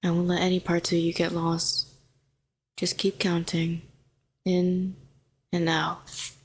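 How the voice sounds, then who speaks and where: A woman performs a telepathic villainess in an intimate audio drama. She is a fallen hero with a low voice, sardonic on the surface and protective underneath. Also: fairly even intonation, unhurried, natural voice. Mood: soothing, tender. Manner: sharp and sardonic, turning soothing and coaxing. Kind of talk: intimate scripted audio roleplay.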